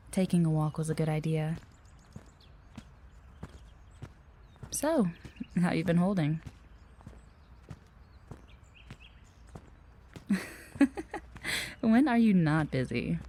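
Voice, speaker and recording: A woman plays an earnest office receptionist in an audio drama. Her voice is low and mellow, and she speaks politely and conversationally, with a careful, hesitant manner. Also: slight background noise, lightly acted. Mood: friendly, probing. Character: friendly, earnest, cautious female office receptionist. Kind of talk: audio roleplay acting.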